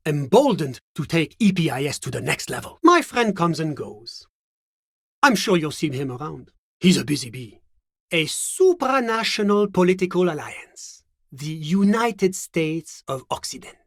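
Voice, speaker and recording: Suave, evasive bureaucrat with swooping intonation. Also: male voice, high-pitched, no room sound, studio quality, full character voice. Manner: urbane, coy, condescending, theatrical. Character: suave, evasive male international bureaucrat, smug and genteel. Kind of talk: video game character dialogue.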